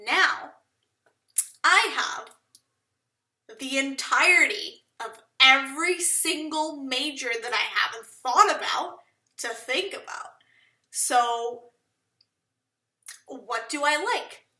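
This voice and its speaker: A woman's voice: high voice, lively intonation, slow, pauses often, pushed and bright, roomy sound, far from the mic. A young woman from Portugal rambling self-consciously to the camera in a casual vlog, laughing often as she thinks aloud. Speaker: vlogger.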